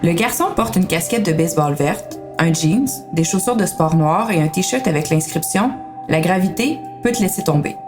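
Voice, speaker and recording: A woman's voice: on the low side, animated, moderate pace, over music. A woman with a Quebec French accent reading scripted story narration.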